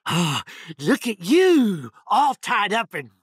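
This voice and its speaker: An actor playing a giddy, sadistic young backwoods killer in a video game, with a Texan accent, a high raspy voice and an excitable, folksy stammer. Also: male voice, slow, no echo, clean studio sound, full character voice. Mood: excited, sinister. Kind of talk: video game character voice lines.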